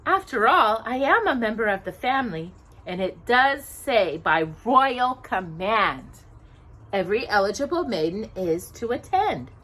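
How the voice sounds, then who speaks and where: Young storybook heroine read by a woman, sweet, hopeful, earnest, raised lively pitch. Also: measured pace, full character voice, rough recording. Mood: defensive.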